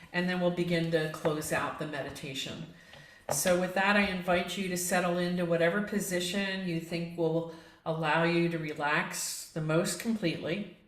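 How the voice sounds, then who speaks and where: A woman with a slightly low voice guides a meditation in an echoey room. She speaks as a yoga instructor, gently and in a measured way, inviting listeners along with each instruction.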